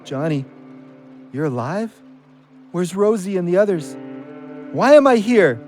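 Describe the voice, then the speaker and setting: A man playing a cocky, loving ex-convict in an audio drama, with an expressive, drawling delivery. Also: high voice, measured pace, faint music behind. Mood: bewildered, pleading. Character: cocky, bawdy, loving man home from jail.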